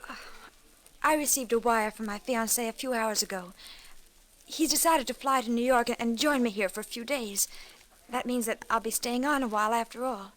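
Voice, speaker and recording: Actress as high-voiced, earnest young woman. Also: a bit bright, close to an everyday voice. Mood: shy pleading. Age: young adult. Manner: polite, halting, pleading. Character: earnest, shy young woman seeking fatherly approval. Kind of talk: 1940s-50s radio drama acting. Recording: old radio recording with music underneath.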